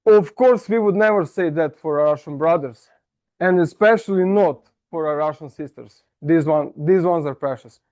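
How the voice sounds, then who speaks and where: Self-mocking Serbian man, playful and halting. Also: fairly high pitch, a little mellow. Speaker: club speaker. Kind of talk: humorous prepared speech. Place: meeting room.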